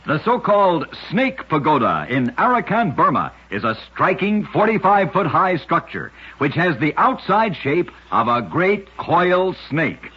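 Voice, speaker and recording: A man hosting an old-time radio show, narrating short scripted oddity tales in a husky, rough-edged voice. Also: a little high, big pitch swings, unhurried, hardly any pauses. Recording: dull, lo-fi old radio recording.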